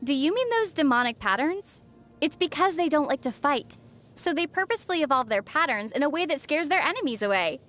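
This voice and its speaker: High-voiced, teasing young heroine. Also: female voice, big pitch swings, fairly quick, a bit bright, faint music behind. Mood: matter-of-fact. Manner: playfully teasing, composed. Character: young female traveler heroine, calm, teasing, quick-witted. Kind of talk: video game character dialogue.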